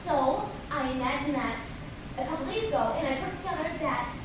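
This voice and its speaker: An eager little schoolgirl in a stage play, acted by a woman, full of earnest, rambling wonder. Her voice is very high and fast, heard in a very echoey space. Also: natural voice. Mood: conspiratorial, excited. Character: Wendy as an imaginative, inquisitive young schoolgirl. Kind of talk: amateur stage play acting. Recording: very echoey distant stage, noisy.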